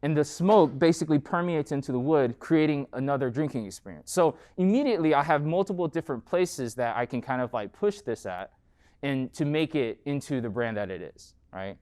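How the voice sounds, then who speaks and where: The smooth-voiced American guy at the front of a college classroom, chatting students through how he runs his business. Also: fairly high pitch, expressive, fairly quick, a little mellow. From United States. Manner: conversational, peppered with "like" and "right?". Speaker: entrepreneur giving a guest lecture. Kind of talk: informal talk and Q&A.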